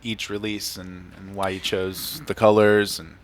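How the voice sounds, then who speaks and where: A man's voice: sits low, little pitch movement, a little slow. A man hosting a casual music interview, asking questions in an informal, supportive way. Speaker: interviewer, music show host.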